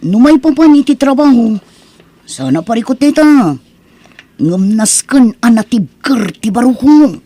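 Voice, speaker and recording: A woman plays a confident male friend drawing someone into work. The voice is mellow and rough-edged. The delivery is persuasive and testing, in radio drama style. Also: a little high, expressive, measured pace. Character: confident male friend recruiting for a shady job.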